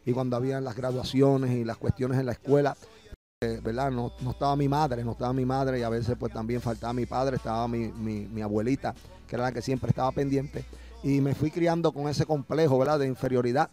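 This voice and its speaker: A man's voice: mid-range voice, fairly even intonation, a little fast, mellow, soft background music. A middle-aged man with a Puerto Rican accent giving a personal religious testimony, often adding little tags that seek agreement, close to a studio microphone. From Puerto Rico. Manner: frequent verbal tags seeking agreement. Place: small radio studio. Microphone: close broadcast microphone with headphones.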